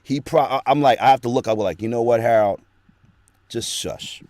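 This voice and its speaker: A man's voice: mid-range voice, normal speed, a little mellow, no echo. A man who works as a voice actor, talking earnestly and at length as a guest in a casual interview, recorded in a small home studio. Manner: earnest, rambling storytelling.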